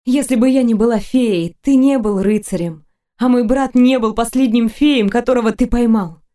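A woman's voice: a little high, moderate pace, warm tone, pitched above the performer's own voice, lightly acted. A woman voicing an earnest young fairy woman in an audiobook, sorrowful yet resolute, with an emotional, pleading manner. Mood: sad. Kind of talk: audiobook character voice.

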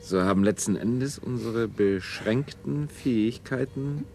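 A man playing a sober colony official in a German science fiction radio play, speaking in a deliberate, practical way. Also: mid-range voice, creaky, a little mellow, faint music behind. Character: sober, matter-of-fact male colony official among scientists.

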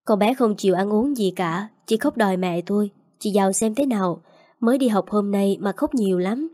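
Woman voicing concerned kindergarten teacher, relaxed tone, storybook audio. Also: mid-range voice, moderate pace, dry recording, clean studio sound, natural voice. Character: worried female kindergarten teacher.

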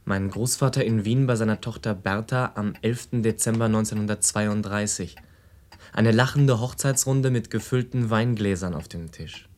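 An actor playing a reflective man who describes old family photographs in a measured radio play narration. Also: lowish voice, darkish tone, lightly acted.

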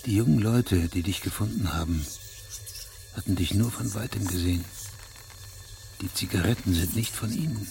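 An actor playing a stern, methodical investigating judge in a radio drama, measured, probing and authoritative. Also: male voice, on the low side, gravelly, darkish tone, a little hiss or hum. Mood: calm, probing.

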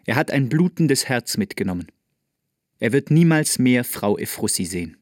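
A man delivering scripted, dramatic literary narration for a radio play with emphatic, deliberate phrasing. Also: medium pitch, lively intonation, dry recording, studio quality.

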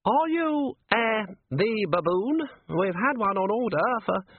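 A man's voice: high voice, sing-song, takes it slowly, breathy edge, dry recording, distant mic, narrow, tinny audio, pitched above the performer's own voice, full character voice. A man voicing a snooty, disdainful gatekeeper with old-fashioned speech in a storybook reading. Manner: haughty.

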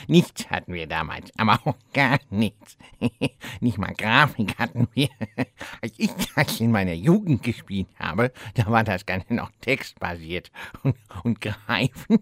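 A cackling old lich who rambles. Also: male voice, fairly low pitch, a bit bright, no echo, studio quality, full character voice. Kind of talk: comedy audio play acting.

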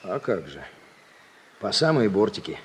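An actor playing a cocky, defiant truck driver from town in a radio play, talking colloquially and stubbornly. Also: male voice, fairly low pitch, big pitch swings, a little slow, husky, exaggerated voice. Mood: casual. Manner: colloquial, stubborn, self-justifying. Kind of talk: Soviet radio play acting. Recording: noisy vintage radio drama recording.

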